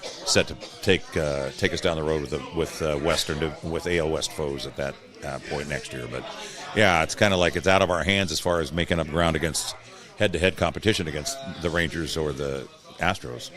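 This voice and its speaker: Guy with a low voice chatting about sports, kinda measured and halting as he goes. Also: a crowd in the background. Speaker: guest, PA announcer and post-game radio host. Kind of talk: casual sports discussion.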